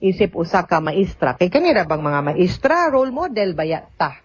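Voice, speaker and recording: A woman's voice: on the low side, big pitch swings, normal speed, husky, no room sound, dull audio. A woman with a Cebuano Filipino accent hosting a radio advice programme, talking conversationally and mixing in English terms as she explains things. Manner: conversational, mixes in English terms, tag questions. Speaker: also a teacher. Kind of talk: radio legal advice, reading letters and answering.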